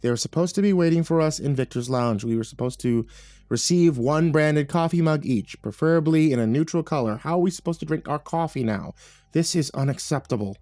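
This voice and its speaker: A dreadful, demanding female assistant director from an audiobook, as a male narrator puts her on. Her delivery is stiff and officious. She sounds put-upon and exasperated, in a somewhat raised pitch. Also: sing-song, fairly quick. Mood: indignant. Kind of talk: audiobook character voice.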